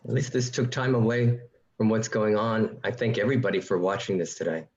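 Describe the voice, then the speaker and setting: A man's voice: on the low side, a little fast, a little mellow. A man from a radio play cast in casual livestream chat, recorded slightly muffled. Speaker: cast member.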